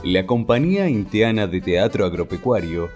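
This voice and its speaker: A man's voice: fairly low pitch, expressive, normal speed. A man announcing radio plays in a formal, scripted introduction. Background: clear guitar music.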